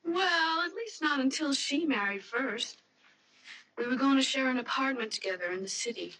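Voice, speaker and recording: An actress playing an anxious young fiancée in a radio mystery, hesitant, earnest and pleading. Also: female voice, sits high, animated, normal speed, sharp tone, heavily acted, low-quality recording. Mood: uneasy, hesitant. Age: young adult. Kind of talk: radio mystery drama acting.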